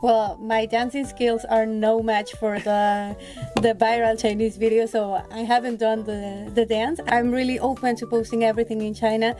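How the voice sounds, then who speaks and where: A young woman from Mexico, a student guest on a podcast, chatting in a relaxed, upbeat way with many likes. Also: fairly high pitch, normal speed, music in the background. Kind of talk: casual podcast chat.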